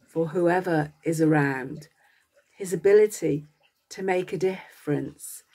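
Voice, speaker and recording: A woman narrating a guided meditation as a coach, speaking gently in short phrases with long pauses between them. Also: low voice, slightly rough.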